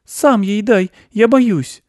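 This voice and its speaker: A man voicing an excitable, tender-hearted little girl in a storybook, with a squealing voice. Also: sits high, slow, dry recording, clean studio sound, voice pushed up. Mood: anxious, excited.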